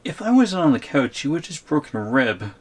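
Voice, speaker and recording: A man's voice: fairly high pitch, big pitch swings, brisk. Man playing a hesitant, wry shrunken boyfriend. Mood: protesting. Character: tiny shrunken captive boyfriend, reluctant and wary. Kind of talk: ASMR audio roleplay.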